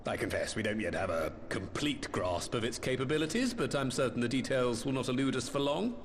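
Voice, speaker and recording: An actor playing a smugly confident, showy inventor with formal, grandiloquent wording in a video game cutscene. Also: male voice, medium pitch, very animated, fairly quick, background noise, natural voice. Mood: smug assurance. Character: theatrical, excitable male imperial inventor, smugly confident.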